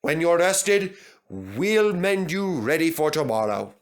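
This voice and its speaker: Storybook railway boss, voiced by a man: male, encouraging, practical, higher-set voice with lively intonation. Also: slow, heavily acted. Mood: delighted. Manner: reassuring, instructing.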